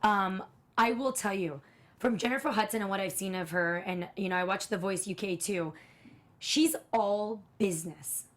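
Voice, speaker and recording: A woman with a naturally raspy voice chats on a TV review panel. She speaks candidly and at length about her opinions. Her pitch sits in a middle range for a woman. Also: normal speed. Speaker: co-host of a TV after-show. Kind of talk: casual panel chat.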